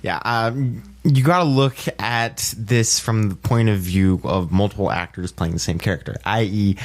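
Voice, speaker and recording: A man's voice: lowish voice, normal speed. A man co-hosting a casual podcast, firmly arguing his opinions in conversational language. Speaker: podcast co-host.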